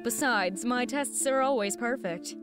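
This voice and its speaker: A woman's voice: on the high side, unhurried, music in the background, close to an everyday voice. A woman playing a confident, urgent scientist officer in a fan audio drama, assured and articulate. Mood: confident, grave.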